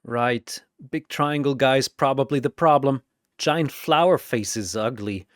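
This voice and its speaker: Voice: medium pitch, a little slow, no echo, clean studio sound, close to an everyday voice. A narrator voicing a brash, cocky, tough brawler woman who talks in a blunt, punchy way in an action story.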